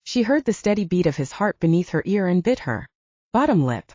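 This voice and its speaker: A woman's voice: on the low side, sing-song, a little fast, warm tone, dry recording. A woman narrating fiction as a scripted, dramatic audiobook reading. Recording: slightly dull, dry close recording.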